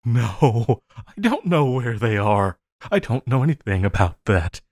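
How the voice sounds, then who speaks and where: A man playing an obsessive, lovesick supervillain in an intimate audio roleplay, halting and crooning, by turns sinister and pleading. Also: medium pitch, big pitch swings, darkish tone, no echo, clean studio sound. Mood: panicked, pleading. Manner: halting, crooning, breaking into laughter.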